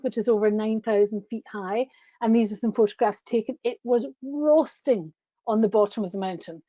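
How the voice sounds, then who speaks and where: A woman's voice: fairly high pitch, dark tone, no echo. A middle-aged woman enthusiastically presenting travel slides, chatty and gushing, speaking over a webcam on a video call. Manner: gushing, chatty, with frequent restarts. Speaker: tour company presenter. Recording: dull lo-fi video call audio.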